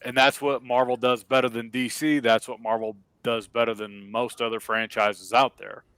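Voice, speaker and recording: A man with a mid-pitched voice talks informally in a panel chat, readily chiming in with the others. The sound is dry and close, with no room to it. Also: level tone, normal speed, a bit bright, clear, resonant tone, dry recording. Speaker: discussion panelist. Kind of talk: casual chat.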